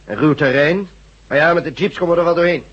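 A man playing an impatient, sardonic resistance leader in a Dutch radio drama, brisk and authoritative. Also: on the high side, breathy edge. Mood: measured. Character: commanding, impatient male leader of a resistance group. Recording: dull, muffled radio play recording.

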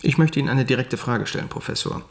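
A man's voice: mid-range voice, fast talker, natural voice. An actor playing a probing, businesslike male government minister in a wartime radio drama, asking direct questions. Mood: probing, pointed. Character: brisk, probing male Nazi armaments minister.

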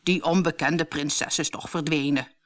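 A woman's voice: deep voice, fairly even intonation, measured pace. A woman playing a vain, haughty middle-aged stepmother in a fairy tale, sounding self-satisfied. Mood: smug.

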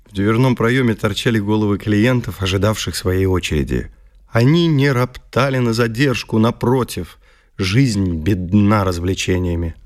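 A man's voice: on the low side, animated, moderate pace. A man narrating a scripted radio play in a literary, dramatic style. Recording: music under the voice. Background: music.

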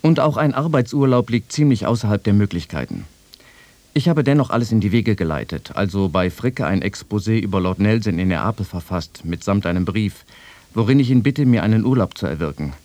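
A man's voice: lowish voice, animated, brisk, a little mellow, dry recording, natural voice. A man playing a wry soldier-writer, reading a personal letter to a friend in a radio feature. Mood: wry, resigned. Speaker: quote reader in a radio feature.

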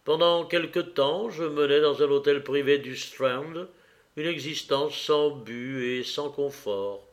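Man calmly reading a story aloud. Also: a little high, slow pace. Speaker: audiobook reader. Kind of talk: audiobook reading of a first-person story.

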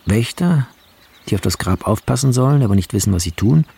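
A man's voice: mid-range voice, lively intonation, a little fast, mellow. A man narrating scripted shot descriptions in clipped, descriptive phrases. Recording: clear music behind the voice.

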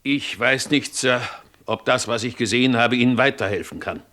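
An actor playing a dutiful, respectful river police constable, giving a measured, deliberate report in a German radio crime drama. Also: male voice, mid-range voice, sharp tone, close to an everyday voice. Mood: earnest, deferential. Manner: deferential, measured witness report.

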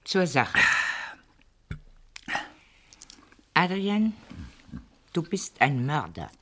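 Low-voiced, imperious old aunt. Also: female voice, very animated, slow pace, a bit bright, slight background noise, exaggerated voice. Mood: accusing. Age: older. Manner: formal, imperious, exasperated. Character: stern, indignant elderly wealthy aunt, easily flustered, accusing. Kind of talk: German radio play acting, around 1960.

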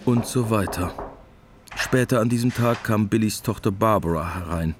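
A man's voice: lowish voice, normal speed, over music. A man narrating a literary radio drama in a matter-of-fact storytelling manner.

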